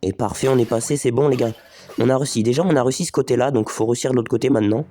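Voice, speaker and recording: A man's voice: mid-range voice, very fast, mellow, soft background music. A man with a slight head cold doing casual let's play commentary, thinking aloud and talking straight to his viewers. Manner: chatty, thinks aloud, talks to viewers as les gars. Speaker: gaming video host.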